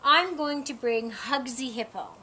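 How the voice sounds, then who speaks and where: Woman voicing an excited high-pitched little girl hippo. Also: measured pace, breathy edge, heavily acted. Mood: cheerful. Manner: exuberant, exclaims and repeats words eagerly. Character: excited, cheerful young girl hippo starting school.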